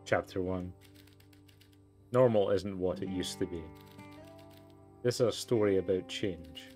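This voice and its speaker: Man reading as a low, formal storybook narrator. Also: measured pace, dark tone, faint music behind. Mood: measured, solemn. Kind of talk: scripted narration.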